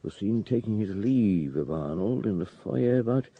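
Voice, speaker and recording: An actor playing a shrewd, middle-aged police superintendent in a radio drama, gruff and authoritative, given to musing and terse questions. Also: male voice, on the low side, expressive, moderate pace, highs cut off, slight background noise. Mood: businesslike. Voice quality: gruff, husky. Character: shrewd, unhurried police superintendent on a murder case.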